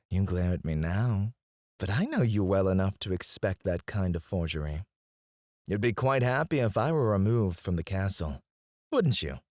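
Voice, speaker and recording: A man's voice: low-pitched, sing-song, normal speed, darkish tone, dry recording, clean studio sound. An actor playing a haughty, sarcastic, prickly and flirtatious male court alchemist in an ASMR fantasy roleplay, with a sardonic drawl. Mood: mocking, irritated. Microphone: close studio mic, dry.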